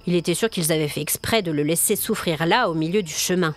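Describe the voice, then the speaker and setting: A woman's voice: fairly low pitch, quick pace, soft background music. A woman storyteller reading scripted narration for a dramatic audio tale.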